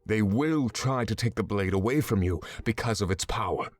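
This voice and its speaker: Low-voiced stern swordsman mentor. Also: animated. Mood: measured, guiding. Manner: stern, measured instruction. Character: stern, commanding male swordsman mentor, exacting and impatient. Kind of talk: scripted visual novel game voice acting. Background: background music.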